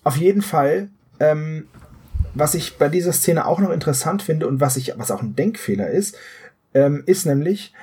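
A man co-hosting a podcast, casually retelling a story in analytical detail and correcting himself as he goes, in a slightly husky voice. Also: on the high side, expressive, normal speed, warm tone. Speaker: podcast co-host.

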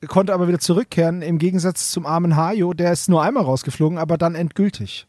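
A man's voice: sits high, fast, darkish tone, dry recording, clean studio sound. A man co-hosting a podcast, talking in a casual, conversational way. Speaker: podcast co-host.

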